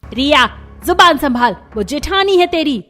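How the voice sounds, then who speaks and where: A woman's voice: high voice, expressive, a little slow, a bit bright, soft background music. A woman voicing a stern, traditional village mother-in-law in a cartoon story, bossy and scolding. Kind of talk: Hindi cartoon story dialogue.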